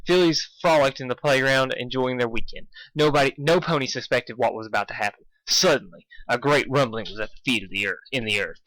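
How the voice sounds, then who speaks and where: A man reading fan fiction aloud in a casual, expressive way, stumbling over lines and poking fun at himself. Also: medium pitch, brisk, hardly any pauses, no echo, low-quality recording. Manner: self-deprecating, swearing, stumbles over lines. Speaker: amateur fan fiction reader. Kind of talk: casual intro and expressive read-aloud narration.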